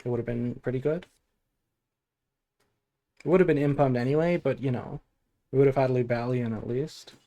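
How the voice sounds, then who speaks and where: Male streamer, mid pitch, dark soft tone, drowsy and low-key, casual commentary. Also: normal speed, long pauses. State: sleepy. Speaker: game streamer. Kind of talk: casual gameplay stream chat and deck analysis.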